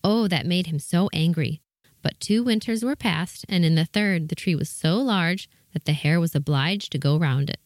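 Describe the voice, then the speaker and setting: A woman's voice: lowish voice, normal speed, no room sound, studio quality. A woman reading a children's fairy tale aloud as the story's narrator.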